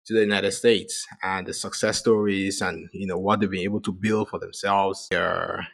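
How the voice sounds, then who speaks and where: Low-voiced man, rambling podcast host. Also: flat delivery, moderate pace, gravelly. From Cameroon. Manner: rambling, restarts often, many "you know" fillers. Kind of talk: casual solo podcast monologue.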